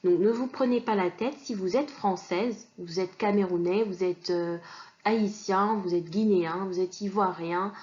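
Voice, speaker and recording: A woman's voice: medium pitch, measured pace, darkish tone, muffled recording. Woman teaching, patient and explanatory. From Kinshasa, DR Congo. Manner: patient, explanatory, many fillers and restarts. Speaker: language teacher. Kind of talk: online lesson.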